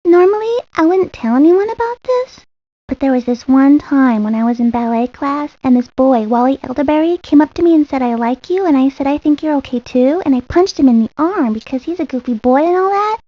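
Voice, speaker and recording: A woman's voice: high voice, brisk, close to an everyday voice. A woman voicing a sweet little ballerina girl in a cartoon video game, girlish and confiding, rambling like she shares secrets. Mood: coy, confiding. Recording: dull lo-fi 1990s game audio.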